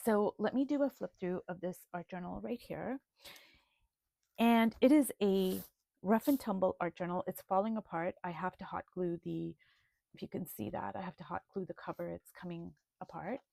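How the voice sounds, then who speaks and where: A woman presenting her art journal in a video, speaking in a slow, thoughtful, gently earnest way. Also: mid-range voice, creaky, no echo. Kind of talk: reflective video flip-through.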